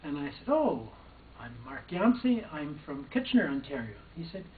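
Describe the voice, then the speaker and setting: A man's voice: fairly high pitch, very animated, normal speed. An older man, a former probation officer, recounting a personal story in a hesitant way with frequent restarts. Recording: distant, echoey, phone-like sound.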